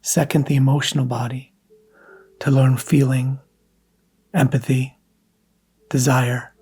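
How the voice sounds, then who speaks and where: Guy leading a guided meditation, super slow and even, soft hushed voice w long pauses. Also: mid-range voice, husky.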